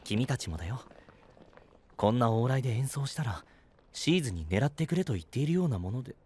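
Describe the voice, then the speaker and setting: A man playing an earnest, responsible young hero in a Japanese anime audio drama, gentle and serious. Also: medium pitch, very animated, fairly quick, a little hiss or hum, natural voice. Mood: subdued, pleading.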